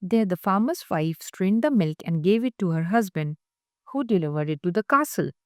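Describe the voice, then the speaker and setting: A woman's voice: on the low side, animated, moderate pace, darkish tone, no echo, clean studio sound. A woman reading a children's picture book aloud as scripted story narration.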